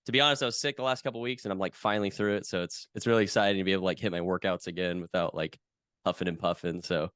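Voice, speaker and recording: Low, smooth-voiced man chatting casually. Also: racing, no room sound, studio quality. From West Coast of the US. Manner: relaxed, chatty, lots of fillers. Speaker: podcast guest. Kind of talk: casual conversation.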